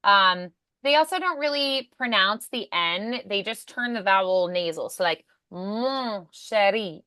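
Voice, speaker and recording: A woman's voice: medium pitch, animated, unhurried, pushed and bright, smooth, no room sound. A woman speaking as an encouraging voice acting coach in a one-on-one lesson.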